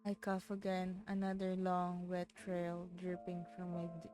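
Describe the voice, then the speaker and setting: A woman's voice: on the low side, monotone, unhurried. A woman streaming a game, reading its text aloud with stumbles and breaking off to exclaim in reaction. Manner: exclamatory, stumbles over read words. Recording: game music behind the voice. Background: soft game music.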